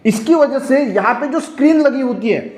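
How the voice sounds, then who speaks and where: Male science teacher, Indian accent, high-pitched voice, patient step-by-step repetition, recorded in an echoey room. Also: animated, normal speed, darkish tone. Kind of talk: classroom explanation alternating read-out textbook sentences with explanation.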